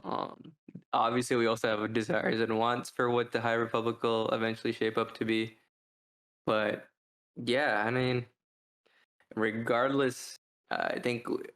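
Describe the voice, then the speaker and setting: Guy cohosting a podcast, average pitch, pretty monotone, rambly and hesitant. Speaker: podcast co-host reviewing books. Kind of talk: casual discussion.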